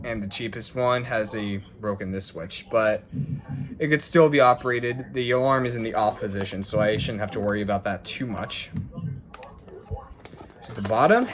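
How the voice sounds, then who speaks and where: Casual man narrating over clear background noise. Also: medium pitch. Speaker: video host. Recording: noisy home recording with clicks.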